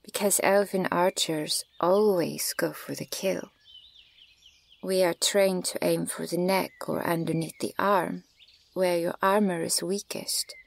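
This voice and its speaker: A woman playing a stern, guarded, ancient elven archer in an ASMR fantasy roleplay, speaking in a measured, formal and breathy way with a creaky edge. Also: fairly low pitch, steady tone. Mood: guarded, appraising. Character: stern, guarded female elven archer, centuries old, scornful of humans.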